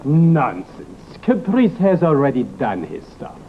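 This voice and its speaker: An actor playing a pompous, self-satisfied champion of spiritualism, grandiose in old-time drama style. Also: male voice, fairly high pitch, very animated, takes it slowly. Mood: indignant. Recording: muffled, noisy vintage broadcast recording.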